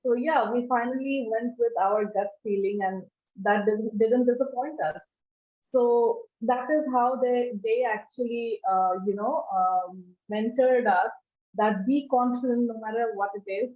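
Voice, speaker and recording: A woman with a Bangladeshi accent answering interview questions in a halting, repetitive way. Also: a little high. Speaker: interview guest, advertising creative. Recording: echoey room, tinny phone-like sound.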